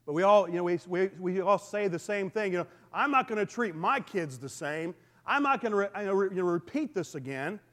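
A middle-aged man with a booming voice, preaching a conversational sermon to his congregation. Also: brisk. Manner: lively, conversational preaching with call-and-response. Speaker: pastor.

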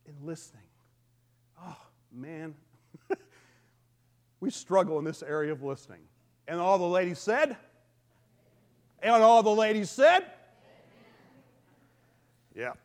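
A middle-aged man with a booming voice, preaching a conversational sermon to his congregation. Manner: lively, conversational preaching with call-and-response. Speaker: pastor.

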